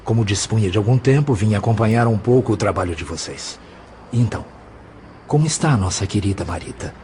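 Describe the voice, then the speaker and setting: A man with a Brazilian accent playing a kindly, fatherly spirit mentor in an audio drama, speaking in a gentle, courteous way. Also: lowish voice, animated, brisk, slight background noise, close to an everyday voice. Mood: kindly, welcoming.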